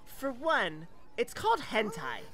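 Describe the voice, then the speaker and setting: High, animated teenage boy gamer played by a woman. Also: slow, faint music behind, heavily acted. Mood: condescending. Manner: smug, emphatic. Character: excitable, condescending teenage boy gamer geek.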